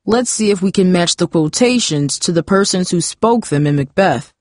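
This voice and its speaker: A woman voicing a stern, theatrical male teacher in an audiobook, with a pompous, measured manner. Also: fairly low pitch, lively intonation, darkish tone, dry recording, studio quality.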